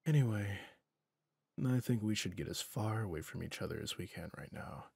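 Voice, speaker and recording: Casting: male actor as a resigned, tender villain for an ASMR fantasy drama; low, gravelly, breathy near-whisper, brooding and confiding. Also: lively intonation, a little mellow, dry recording, full character voice. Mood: pitying, weary. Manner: slow, brooding, confiding with pauses. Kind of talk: intimate ASMR roleplay drama.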